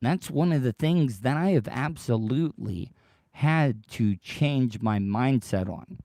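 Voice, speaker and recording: A man hosting his own podcast livestream, rambling and repeating himself as he talks straight to his listeners, his voice worn deeper than usual by tiredness. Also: lively intonation, slow pace, mellow, no echo, studio quality. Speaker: podcast host. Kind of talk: casual solo livestream monologue.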